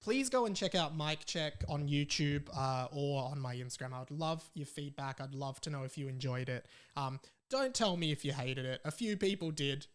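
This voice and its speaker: A man's voice: on the high side, expressive, normal speed, few pauses. A young adult man with an Australian accent, chatting casually as a solo podcast host in an informal, self-deprecating way.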